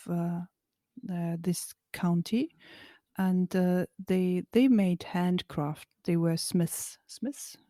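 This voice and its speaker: A German woman co-hosting a casual talk show in English, frank and lively, sometimes searching for words. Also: on the low side, no echo, clean studio sound. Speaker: co-host. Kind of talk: casual conversational chat.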